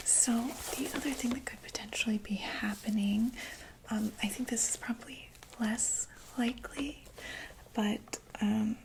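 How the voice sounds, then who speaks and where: Whispering woman playing a gentle monster doctor. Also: a little high, steady tone, background noise. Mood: explaining calmly. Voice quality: breathy whisper. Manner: gentle, hesitant explaining with many pauses. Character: reassuring, matter-of-fact female doctor for monsters. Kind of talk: whispered ASMR medical roleplay.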